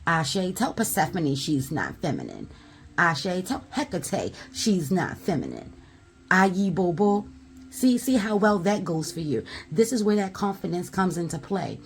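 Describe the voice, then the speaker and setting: A woman giving a spiritual tarot message in a loud, emphatic voice, blunt and at times swearing, punctuating her points with repeated affirmations. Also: fairly low pitch, very animated, measured pace. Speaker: tarot reader.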